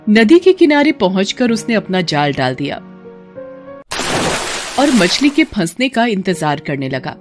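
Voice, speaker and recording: A narrator reading a scripted cartoon folk story. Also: medium pitch.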